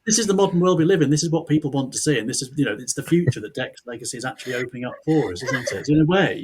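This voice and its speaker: A man's voice: medium pitch, animated, rapid-fire, a crowd in the background, poor audio. A man hosting a casual podcast interview, wry, repeating phrases and adding tag questions. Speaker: podcast host.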